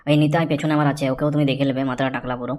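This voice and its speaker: A boastful, lecherous village man as a woman plays him in a cartoon comedy. The voice is very deep, fairly flat and extremely fast, always coaxing. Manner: crude, coaxing.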